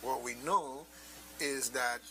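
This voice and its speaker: A man's voice: mid-range voice, takes it slowly, pushed and bright, rough recording. A man with a Caribbean accent hosting a casual radio discussion, teasing and repeating phrases for emphasis. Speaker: radio host. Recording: faint hiss and hum.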